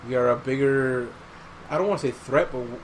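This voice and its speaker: A man's voice: mid-range voice, fairly quick, a little hiss or hum, poor audio. A man hosting a podcast in casual chat, swearing freely and giving his opinions in slangy talk. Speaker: podcast host.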